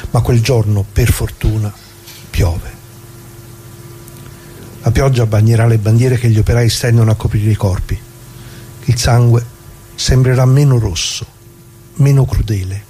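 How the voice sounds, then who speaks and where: An actor playing a dead retired working-class man, bitter and plain-spoken, addressing listeners in a halting, repetitive theatrical radio monologue. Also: medium pitch, steady tone, dark tone, a little hiss or hum, natural voice, rough recording. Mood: grave, bitter. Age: older.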